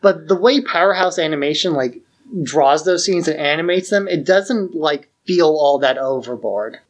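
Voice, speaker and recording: A man's voice: on the high side, moderate pace. A man co-hosting a podcast, chatting about a show in an informal, blunt way. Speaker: podcast co-host. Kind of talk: casual review chat.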